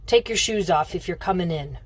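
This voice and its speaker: A woman voicing a gruff, impatient old man, a stern master thief in a storybook, with curt delivery. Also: fairly low pitch, a little fast.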